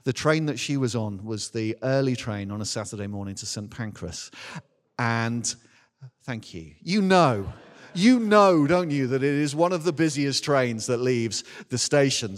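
A middle-aged man preaching as a minister, telling a personal story in a chatty, self-deprecating, animated way. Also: mid-range voice. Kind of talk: anecdotal sermon, informal storytelling. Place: large indoor church hall. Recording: reverberant hall, live church talk.

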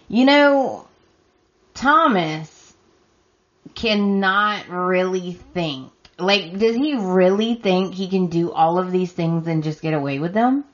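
A woman's voice: lowish voice, highs cut off. A woman hosting a solo recap podcast, talking emphatically and addressing the characters directly while her voice turns scratchy from losing it. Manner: emphatic, talks straight to the characters. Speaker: podcast host.

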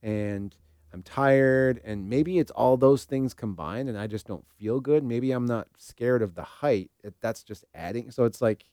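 A man's voice: medium pitch, unhurried, warm tone, no room sound, clean studio sound. A man co-hosting a talk show as a therapist, speaking casually and reflectively, tentatively working out his thoughts aloud.

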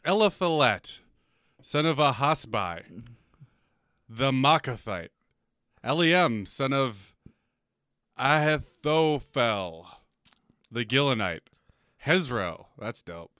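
Animated, bright-voiced male podcast host. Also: medium pitch, takes it slowly, pauses often, smooth, no echo, clean studio sound. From North America. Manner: animated, profane, laughs often, ranting. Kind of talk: casual comedic chat.

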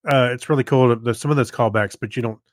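A man with a medium-pitched voice talks casually as a podcast co-host. He rambles and stops to correct himself, feeling his way through sentences. The recording is dry and free of room sound. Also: steady tone, no room sound. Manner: halting, rambling, self-correcting. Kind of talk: casual chat.

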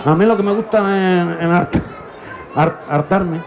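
A man's voice: high-pitched, measured pace. A man playing a bumbling fake foreign art director. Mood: silly. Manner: mangles Spanish with fake English and French words. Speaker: comic guest. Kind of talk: improvised radio comedy sketch. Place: outdoor town fair. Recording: lo-fi live recording with crowd. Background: crowd noise.

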